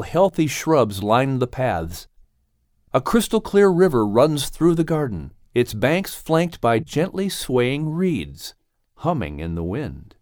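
A male narrator reads a story dramatically. His medium-pitched voice moves widely in tone, expressive and storylike, in a close, dry recording. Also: no room sound. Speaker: audiobook narrator.

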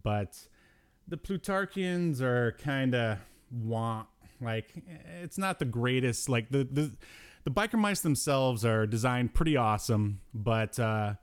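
A man co-hosting a podcast in casual, unscripted talk, often halting as he gathers his thoughts. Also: mid-range voice, lively intonation, smooth, no echo. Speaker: podcast co-host.

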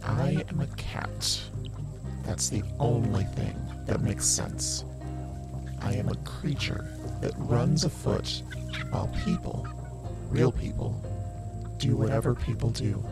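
Woman acting outcast servant woman, proud and defiant, gravelly fry, slow solemn delivery, audio drama, heavy music. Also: rumbling low, exaggerated voice, rough recording. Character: defiant outcast eighteenth-century servant woman, feral, cat-like survivor. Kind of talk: solemn first-person audio drama monologue. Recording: loud music over the voice.

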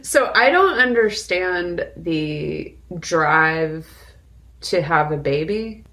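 A woman co-hosting a podcast, talking casually about films and pausing deliberately mid-sentence. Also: fairly low pitch, lively intonation, fairly bright tone. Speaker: podcast co-host. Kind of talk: casual film discussion.

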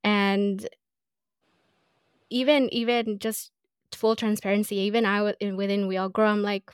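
A woman guest on a podcast speaks in an earnest, reflective way, often leaning on fillers as she gathers her thoughts. Her voice is smooth and clear. It is recorded dry and close, with no room echo. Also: mid-range voice, flat delivery, a little slow. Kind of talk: casual interview conversation.

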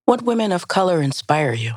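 An interviewer with a mid-pitched voice, putting measured questions to a guest. The sound is dry and close, with very little room to it. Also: big pitch swings, dry recording.

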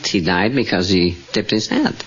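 A man's voice: deep voice, moderate pace. A man as a quiz contestant, giving short, plainspoken, hesitant answers. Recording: muffled old radio recording.

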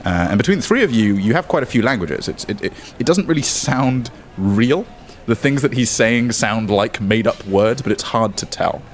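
A man's voice: mid-range voice, lively intonation, moderate pace, faint music behind. A man narrating as game master, mixing descriptive scene-setting with wry, joking asides.

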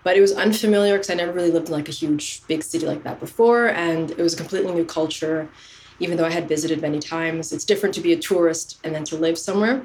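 A woman's voice: low voice, quick pace, darkish tone, a little hiss or hum, low-quality recording. A woman in her thirties, raised in Canada, earnestly telling the story of her career as a podcast guest. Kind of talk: casual interview chat.

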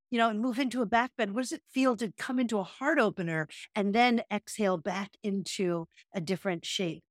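A woman's voice: mid-range voice, dry recording, studio quality. A woman co-hosting a casual talk show, musing thoughtfully with frequent restarts and then guiding a yoga sequence. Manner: thoughtful, searching, with many restarts. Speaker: podcast co-host and yoga teacher. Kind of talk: casual reflective conversation.

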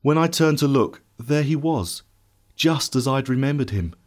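A man playing a bitter, grief-stricken disciple in a dramatic first-person monologue, emotional and theatrical, swinging from hushed to shouting. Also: medium pitch, animated, moderate pace, lightly acted. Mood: subdued. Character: anguished, bitter doubting male disciple recounting his grief.

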